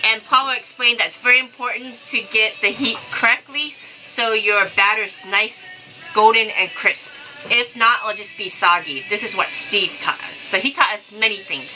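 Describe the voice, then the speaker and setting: Bright, high-voiced cheerful woman. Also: moderate pace, faint music behind. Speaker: vlog host. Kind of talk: casual cooking vlog chat. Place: small sailboat galley indoors. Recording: noisy on-camera boat galley audio.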